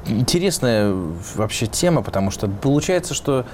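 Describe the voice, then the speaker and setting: A man's voice: medium pitch, animated, moderate pace, darkish tone, slight background noise. A man, a musician being interviewed, answering in a reflective way as he searches for words.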